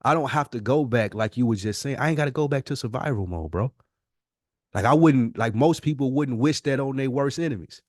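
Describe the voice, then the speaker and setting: A man's voice: mid-range voice, fairly quick, dry recording, studio quality. An American man co-hosting a podcast, talking casually with heavy slang, swearing and emphatic phrasing. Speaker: podcast co-host. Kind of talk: casual conversation.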